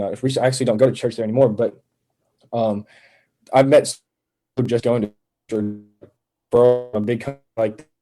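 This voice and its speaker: A man's voice: medium pitch, dark tone. A young man speaking as a podcast guest, chatting in an informal, earnest way. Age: young adult. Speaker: podcast guest, landscaping business owner. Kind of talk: casual chat.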